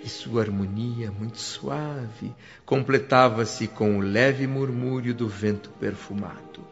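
A man's voice: medium pitch, vocal fry. A man with a Brazilian accent playing a reverent newcomer who recounts his awe in solemn radio drama narration. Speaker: narrator and lead actor. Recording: dull lo-fi radio drama, music behind. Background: music.

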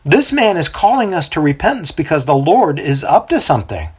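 An earnest ordinary man voiced by a storybook narrator eagerly explains himself. The voice sits somewhat above the reader's own and stays breathy and lively. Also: medium pitch, fairly quick, pitched above the performer's own voice.

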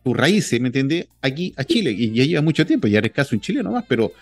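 A man's voice: medium pitch, big pitch swings, fast, dry recording, faint music behind. A man hosting a casual interview, asking long friendly questions and dropping short backchannels. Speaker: podcast host.

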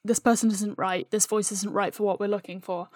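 A woman's voice: medium pitch, steady tone, a little fast, darkish tone, no room sound. A woman guest in a casual chat, explaining things in a jokey, giggly way. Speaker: interview guest, audio fiction creator.

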